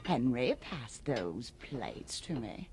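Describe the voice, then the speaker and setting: An actress playing an imperious, gushing society hostess in a radio drama, with an affected, bossy manner. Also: female voice, a bass voice, very animated, slow, full character voice. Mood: exasperated. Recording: faint background noise.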